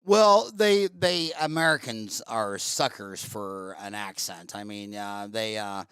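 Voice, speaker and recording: A man's voice: fairly low pitch, takes it slowly, a bit bright, clear, resonant tone, no room sound. A man hosting an interview podcast, rambling and searching for words with many ums. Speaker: podcast host. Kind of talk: casual interview chat.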